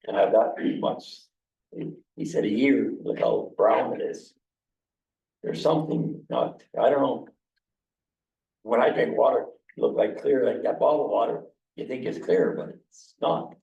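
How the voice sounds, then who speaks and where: A hoarse man at a council meeting speaks haltingly, searching for words. His voice is very gravelly, with lively, swinging pitch. He sounds distant and dull, in an echoey room. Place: council chamber. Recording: dull echoey chamber from far off.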